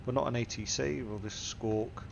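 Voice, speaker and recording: Gravelly, congested man walking through a cockpit. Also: mid-range voice, steady tone, normal speed, highs cut off. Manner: methodical, talks through each setting aloud. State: stuffy nose from a cold. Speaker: flight sim tutorial host. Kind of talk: step-by-step cockpit walkthrough. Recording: simulator engine and cabin noise behind. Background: aircraft simulator hum.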